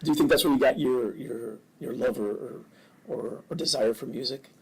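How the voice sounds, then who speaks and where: A man's voice: medium pitch, normal speed, crowd noise, low-quality recording. Chatty male local news interviewer. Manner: chatty, encouraging reactions. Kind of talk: casual interview conversation.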